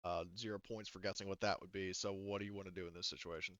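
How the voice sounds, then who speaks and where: Male game master, bright clear voice, informal and sweary, close dry but slightly dull audio. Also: low-pitched, steady tone, fast talker, dry recording. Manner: informal, casually profane. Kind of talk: narrating a tabletop roleplaying game, casual.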